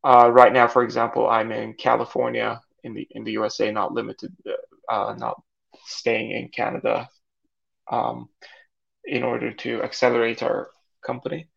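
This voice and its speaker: A young man whose first language is Turkish, answering podcast questions in a halting way, with many fillers and restarts. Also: medium pitch, little pitch movement, creaky, a bit bright, breathy edge, dull audio. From Saudi Arabia. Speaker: guest, startup founder.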